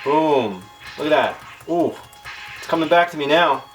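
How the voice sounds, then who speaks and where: A man's voice: a little high, expressive, a little slow. Casual, self-deprecating male gaming vlogger over music. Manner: informal, self-deprecating, slangy. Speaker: video host. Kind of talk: casual tech and gaming vlog commentary. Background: background music.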